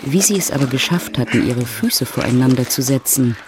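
A man's voice: medium pitch, animated, a little fast, noisy background, natural voice. A man narrating a love story in a literary audio drama. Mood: composed narrating.

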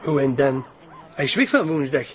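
A man's voice: medium pitch, expressive, moderate pace, lo-fi sound, close to an everyday voice. An actor playing a chatty, nosy, eager husband, rambling and stumbling over his words in a homely TV drama. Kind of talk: TV drama acting, colloquial.

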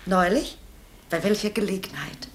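A woman's voice: medium pitch, moderate pace, close to an everyday voice. An actress playing a doting, fussy middle-aged mother in a mid-century radio play, effusive and sentimental. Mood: uneasy, suspicious. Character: doting, fussy, increasingly uneasy visiting mother. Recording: noisy vintage radio play recording.

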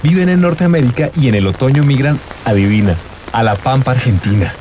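A man's voice: medium pitch, a little fast, a little mellow, natural voice. A man acting an earnest, friendly, inquisitive character in a radio drama. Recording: noisy outdoor ambience, rain.

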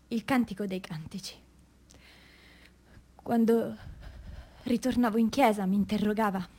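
A woman's voice: on the high side, darkish tone. An actress playing an anguished mother who gives an intense, confessional testimony of past abuse in a dramatic stage monologue. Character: anguished mother recounting abuse by a priest in girlhood.

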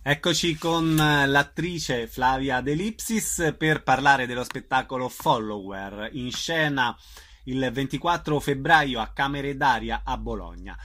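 A man's voice: mid-range voice, a little slow, pushed and bright. A man hosting a radio show, interviewing guests in a conversational style.

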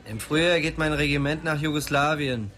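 A man's voice: a little high, moderate pace, fairly bright tone, close to an everyday voice. An actor playing a troubled, sullen young man with a crude manner in a radio drama. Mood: hateful.

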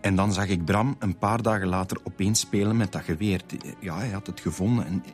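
Guy playing a flemish dad in a radio drama, lowish voice, defensive and pleading, music behind. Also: a little fast, natural voice. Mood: remorseful, confessing. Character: grieving, defensive young father hiding a secret. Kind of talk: naturalistic radio drama acting.

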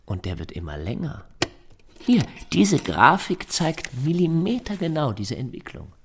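An actor playing a pedantic, insistent man of about forty, fussy and formal, with a husky voice, in a radio drama. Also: a little high, very animated, unhurried, a bit bright, slight background noise, heavily acted. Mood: earnest, insistent.

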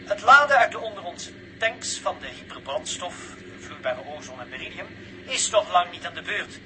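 High, animated male launch reporter. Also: normal speed. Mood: excited, solemn. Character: animated television launch reporter addressing viewers. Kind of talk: 1960s broadcast commentary acting. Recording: noisy old radio recording.

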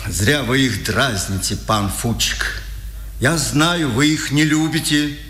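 A man's voice: medium pitch, slow pace, fairly bright tone. An actor playing a submissive village policeman, formal and resigned, in a 1950s Soviet radio drama. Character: stiff, submissive village policeman, a dutiful little man. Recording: echoey, reverberant old radio recording.

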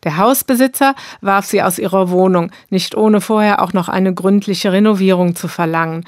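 A woman narrates a radio documentary in a calm, measured voice. The studio sound is dry, with no room echo at all. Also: medium pitch.

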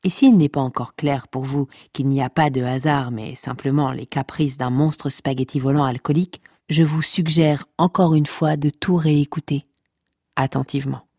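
An actress playing a sardonic, teasing storyteller who narrates comic podcast fiction and scolds listeners with deadpan wit. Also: female voice, a bass voice, normal speed, breathy edge, no echo, clean studio sound, heavily acted. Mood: wry.

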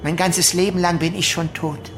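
A woman acting a bitter, defiant prisoner in a radio play, speaking in a measured, accusing, solemn way with pronunciation. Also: lowish voice, steady tone, close to an everyday voice. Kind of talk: dramatic radio play monologue. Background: music.

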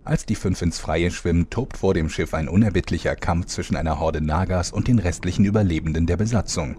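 A man playing the calm storyteller, giving scripted narration for a parody fantasy audio drama. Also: sits low, brisk, close to an everyday voice. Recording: faint background noise.

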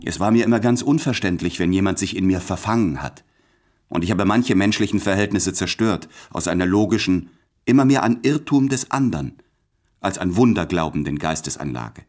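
An actor playing an anguished, self-doubting man in his late thirties, speaking in measured, introspective literary monologue in a radio drama. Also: sits low, lively intonation, a little mellow. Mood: detached, brooding.

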